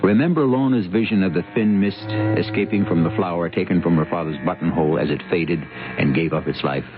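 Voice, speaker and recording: A man's voice: sits low, fairly quick. A man hosting and narrating a radio drama in a solemn, rhetorical, scripted manner. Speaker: host and narrator. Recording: tinny old radio, loud music behind.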